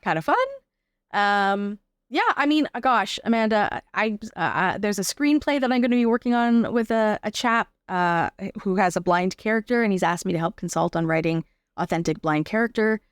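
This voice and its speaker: A woman with a Canadian accent talks in a casual, thoughtful interview style. Her pitch sits in the middle of the usual female range and the sound is dry and close. She explains things informally and reflectively. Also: normal speed, clean tone, no room sound. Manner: informal, thoughtful, explains through examples and rhetorical questions.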